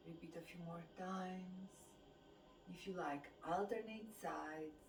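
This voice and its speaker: A woman with a Romanian accent leads a guided exercise class as the instructor, giving measured movement and breathing cues. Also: fairly low pitch, soft background music. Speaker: fitness and qigong instructor. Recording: distant mic, echoey room, background noise.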